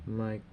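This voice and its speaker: A man with a stuffy, runny nose giving a rambling spiritual talk, halting and full of fillers, often ending phrases with "man". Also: lowish voice, vocal fry, muffled recording, a little hiss or hum. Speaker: religious speaker.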